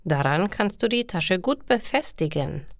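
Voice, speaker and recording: Helpful male pizza courier for an audio storybook played by a female narrator, patient, encouraging, very measured pace. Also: lowish voice, very animated, no room sound, clean studio sound. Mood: helpful, instructive. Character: friendly, helpful young man, pizza delivery worker.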